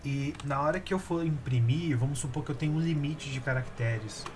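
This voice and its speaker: A man's voice: a little high, a little fast, background noise. Brazilian man teaching code over typing. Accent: Brazilian Portuguese. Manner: relaxed, hesitant explaining with fillers while typing. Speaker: programming instructor. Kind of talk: screen-recorded coding lesson.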